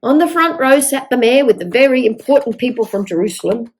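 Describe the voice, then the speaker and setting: An older woman reading a picture book aloud to young children in an expressive storytelling manner. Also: on the high side, brisk. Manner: expressive, with exaggerated dialogue.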